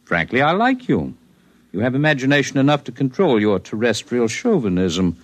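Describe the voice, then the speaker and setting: A lofty alien healer. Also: male voice, medium pitch, very animated. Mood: calm. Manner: measured, lofty, precise. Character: calm superior alien healer man, scholarly. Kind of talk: 1950s radio drama acting.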